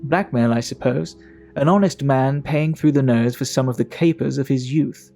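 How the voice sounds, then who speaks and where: A man acts a talkative, worldly English gentleman of the Victorian era in an audio drama. His voice is mellow and gravelly, with music underneath. He tells his tale in measured, fastidious fashion, like a practised gossip. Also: mid-range voice, natural voice. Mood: scornful, gossipy.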